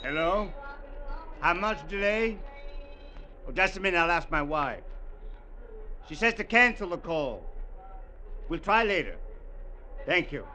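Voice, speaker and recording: A cold, menacing killer. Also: male voice, high-pitched, sing-song, measured pace, long pauses, sharp tone, slight background noise, full character voice. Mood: smooth. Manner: cold, threatening, clipped. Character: menacing male killer posing as a hotel servant. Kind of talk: 1950s radio drama acting. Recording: dull lo-fi old radio recording.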